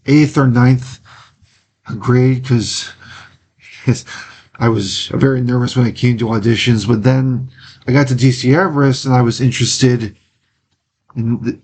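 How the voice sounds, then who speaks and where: A young man from Wisconsin, an interview guest, talking earnestly in a halting way with many pauses and restarts. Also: medium pitch, steady tone, darkish tone, breathy edge. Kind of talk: casual interview talk.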